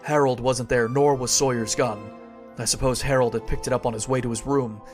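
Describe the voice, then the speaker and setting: A wary, skeptical male investigator played by an actor in an old-time radio horror play, with a mid-pitched voice. He tells his own dark story in a dramatic, serious manner, with music in the recording. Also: steady tone, brisk, lightly acted. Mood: grim narrating.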